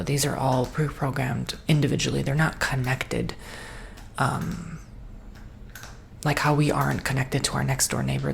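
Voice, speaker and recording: A woman playing an easygoing, reassuring salesperson in an ASMR roleplay, soft-spoken and partly whispering in an informal, rambling way. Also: a bass voice, creaky, slight background noise, full character voice. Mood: gently cautioning, reassuring. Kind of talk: ASMR roleplay, improvised customer-service chat.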